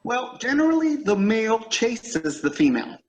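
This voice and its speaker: A man plays a short-tempered store supervisor in an old-time radio comedy. His voice is high for a man and swings widely in pitch. He comes across as fussy and indignant. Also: sing-song, moderate pace. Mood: fussy, businesslike.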